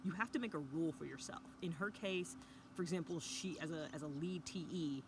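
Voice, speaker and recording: A woman talking casually to camera in a hushed early-morning voice, recording outdoors by a fire pit with a mountain view. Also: deep voice, fairly quick. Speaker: vlogger. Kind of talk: casual reflective talk to camera. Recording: outdoor ambience with background noise.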